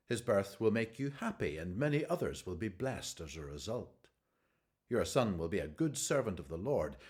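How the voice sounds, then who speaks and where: An actor playing an angel, a measured and authoritative messenger of God, in a radio drama. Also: male voice, on the low side, a little mellow. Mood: calm, reassuring. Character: angel Gabriel, calm, authoritative, stern male messenger of God.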